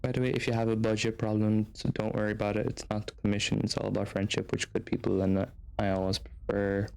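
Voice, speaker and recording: A man's voice: fairly low pitch, monotone, quick pace, creaky, darkish tone, clean tone. A man livestreaming a video game, chatting casually in an easygoing, rambling way over game music. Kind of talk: casual livestream chat, reading game text aloud.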